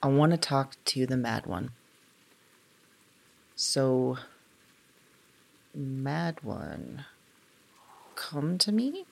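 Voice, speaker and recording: A woman performing a first-person audio drama monologue, hesitant and halting, often dropping into whispers. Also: very deep voice, very animated, creaky, a little mellow, breathy edge. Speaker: audio drama narrator and lead.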